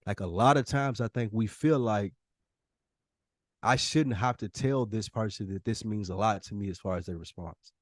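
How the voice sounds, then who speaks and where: A man's voice: fairly low pitch, normal speed, no room sound. An American man co-hosting a podcast in casual, slangy, emphatic talk, often acting out imagined dialogue. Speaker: podcast co-host.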